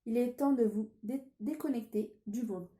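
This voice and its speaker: A woman's voice: fairly high pitch, moderate pace, mellow, reverberant, slight background noise. French-speaking woman reading tarot, with a cold. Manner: hesitant, many fillers and restarts. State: has a cold. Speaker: tarot card reader. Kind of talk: casual tarot reading vlog.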